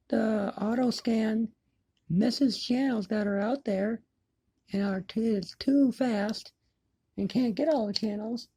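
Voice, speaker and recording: A woman chatting casually to viewers as a hobbyist video maker, in a halting, repetitive, plain-spoken way. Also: fairly high pitch, dark tone, husky. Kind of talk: casual chat to camera. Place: indoors in an apartment, by a window.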